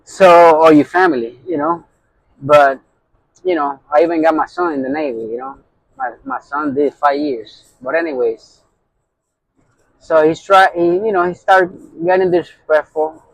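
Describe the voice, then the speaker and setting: A man's voice: on the high side, expressive, normal speed, gravelly, dry recording, low-quality recording. A middle-aged man with a Puerto Rican accent, a truck driver telling a heated story in a casual vlog, with constant fillers. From New York. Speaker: owner-operator truck driver.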